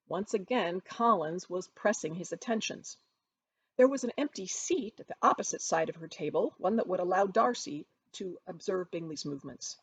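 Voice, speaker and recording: A woman reading her novel aloud as expressive storybook narration, with dramatic, emphatic phrasing. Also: on the low side, slightly rough. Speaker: author reading from their own novel.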